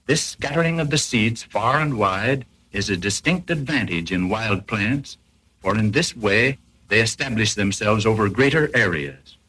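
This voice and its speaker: A man narrating a 1940s educational film in a formal, didactic, carefully explanatory way, with a slightly rough, husky voice, on an old film soundtrack. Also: lowish voice, expressive, a little slow, bright, forceful tone, poor audio.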